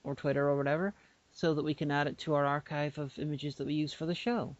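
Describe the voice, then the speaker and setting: A woman's voice: low voice, steady tone, fast. Playful rambling woman podcaster. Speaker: podcast co-host. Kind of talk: casual podcast chat. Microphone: remote call microphone. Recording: dull lo-fi online call audio.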